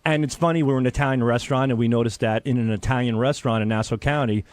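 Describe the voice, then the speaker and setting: A man's voice: mid-range voice, steady tone, very fast, darkish tone, no echo. A man arguing casually on a radio panel, blunt and opinionated. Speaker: radio show regular. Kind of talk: animated casual panel debate.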